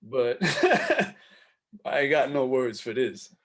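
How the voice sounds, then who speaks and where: A man hosting a casual podcast, playful and full of praise for his guest, stammering when excited. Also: mid-range voice, takes it slowly, a crowd in the background. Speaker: podcast host. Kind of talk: casual chat.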